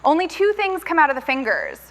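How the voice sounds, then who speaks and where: A woman playing a skeptical, sharp-tongued radio co-host who makes dry quips. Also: high voice, wildly swooping pitch, brisk, full character voice.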